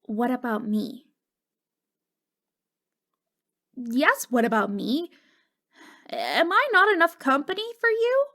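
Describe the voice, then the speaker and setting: High, slow-paced wistful ghost girl, acted by a woman. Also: sing-song, bright, forceful tone, heavily acted. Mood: curious, wary. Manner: halting, stammering, emotionally fragile. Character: wistful, wounded ghost girl, long dead since wartime. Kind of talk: ASMR roleplay, intimate dramatic monologue.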